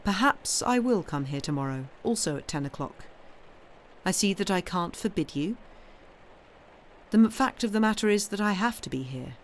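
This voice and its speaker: A woman's voice: medium pitch, expressive, normal speed, a little hiss or hum, natural voice. A woman reading as frank, guarded young woman. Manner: hesitant, frank. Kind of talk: dramatised audiobook reading.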